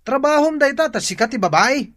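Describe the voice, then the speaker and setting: An actor playing a lazy, domineering young husband in a radio drama, bossy and grumbling. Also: male voice, very high voice, expressive, fairly quick, a bit bright. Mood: angry, bossy.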